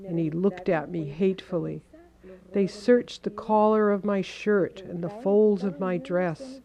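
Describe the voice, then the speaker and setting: A woman reading a translated first-person account as a measured, earnest voice-over. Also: mid-range voice, warm tone.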